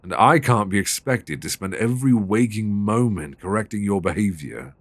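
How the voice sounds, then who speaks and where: A man's voice: on the low side, lively intonation, normal speed, no room sound. A man with a British accent playing a stern, weary but devoted dominant boyfriend in an intimate, emotionally intense audio roleplay, close on a binaural mic. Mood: tender, worried. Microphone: close binaural microphone.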